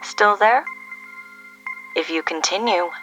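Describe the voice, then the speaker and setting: An actress playing a cool, mysterious woman who gives deliberate, commanding instructions as a secret agency's recorded message in an audio drama. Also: medium pitch, a bit bright, poor audio. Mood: cool, ominous. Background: music.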